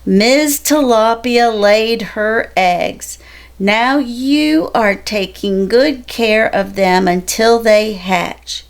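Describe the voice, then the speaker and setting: A woman's voice: fairly high pitch, extremely slow. A woman voicing a polite, caring male seahorse in a children's storybook, courteous and encouraging. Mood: friendly, encouraging.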